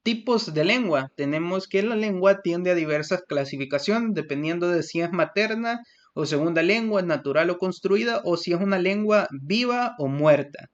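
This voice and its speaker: A man's voice: sits high, expressive, normal speed. A young man from El Salvador with a Salvadoran Spanish accent, giving a class presentation and explaining from his notes with many fillers and restarts. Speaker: student presenter. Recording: lo-fi muffled recording.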